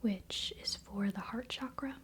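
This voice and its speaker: A woman whispering softly in a breathy voice, speaking as an ASMR host giving calm spiritual guidance. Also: mid-range voice. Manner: soft, slow, unhurried.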